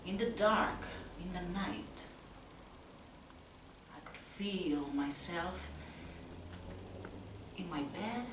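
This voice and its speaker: A woman acting a tense, troubled youth haunted by violent parents in a halting, intense stage monologue. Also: medium pitch, lightly acted. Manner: slow, halting, intense, from hushed to loud. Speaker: actress performing a solo monologue. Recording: roomy, distant mic, faint noise.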